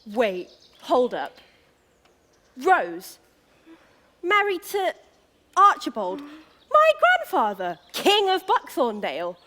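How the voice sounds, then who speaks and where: A brash young pantomime prince, played by a woman, who talks like a hyped-up vlogger. The voice is high and swoops widely, stretching words out slowly for effect. The slang is laid on thick, with dim, cocky excitement. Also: a little hiss or hum, exaggerated voice. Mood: incredulous, brash. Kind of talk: theatrical pantomime stage acting.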